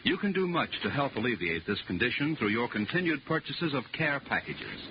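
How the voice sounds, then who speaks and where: Male appeal reader: grave scripted delivery, expressive melody, faint hiss on vintage radio sound. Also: mid-range voice, fairly quick. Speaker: charity appeal announcer. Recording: muffled, noisy old radio recording.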